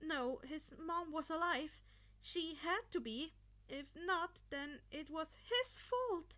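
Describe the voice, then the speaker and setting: A high-pitched, anxious teenage boy hero played by a woman in an audiobook story. He pleads and stammers, weighed down by guilt. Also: lively intonation, slow pace, pushed and bright, heavily acted. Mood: anguished guilt. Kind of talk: fan fiction audiobook character voice.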